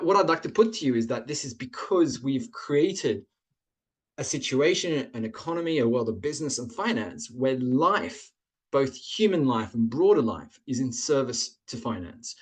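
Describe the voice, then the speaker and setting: A man who grew up in Australia, presenting slides on a webinar over a computer mic, explaining points in an earnest way and stacking them in lists. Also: mid-range voice.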